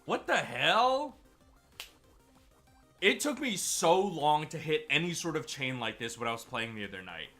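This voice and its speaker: Stuffy streamer dude, bright tone, gets hyped over wins, faint music behind. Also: male voice, fairly high pitch, unhurried. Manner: chatty, excitable over wins. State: stuffy, slightly sick. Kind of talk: gameplay commentary.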